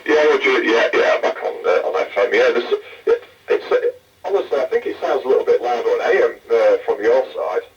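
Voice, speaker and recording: A man's voice: medium pitch, sing-song, a little fast. An English man with an English accent, rambling casually with fillers as the other operator on a radio test. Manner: rambling, hesitant, many fillers. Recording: muffled distant radio transmission.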